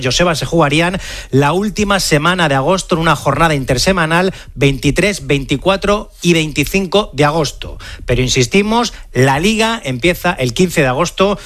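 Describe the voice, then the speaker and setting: A man's voice: on the high side, a bit bright. A man with a Spain Spanish accent explaining sports news in a live radio report.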